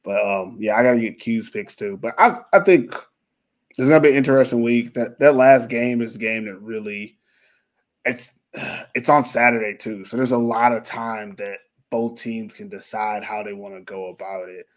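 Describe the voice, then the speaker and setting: A man's voice: on the low side, moderate pace. Rambling, self-mocking podcast host, man. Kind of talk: casual sports podcast chat. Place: lives in Finland.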